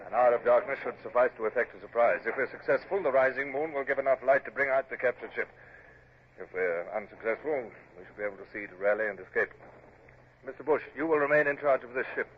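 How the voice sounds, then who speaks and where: An actor playing a reserved, formal Royal Navy captain with an English accent, giving clipped orders in an old radio drama. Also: male voice, medium pitch, fast talker, lightly acted. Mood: grave, resolute. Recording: dull, hissy old radio recording.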